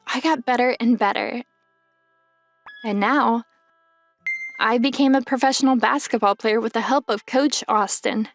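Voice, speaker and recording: A woman's voice: on the high side, natural voice. A woman playing a very tall, insecure young woman turned pro basketball player, narrating her own story in an anime-style dub. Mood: proud, settled.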